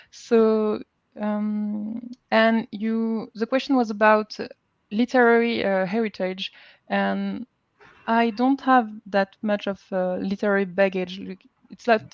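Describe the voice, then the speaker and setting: A woman with a French accent talking informally as a panel guest, haltingly searching for words. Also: medium pitch, steady tone, dry recording, muffled recording. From France. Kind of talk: informal live panel talk.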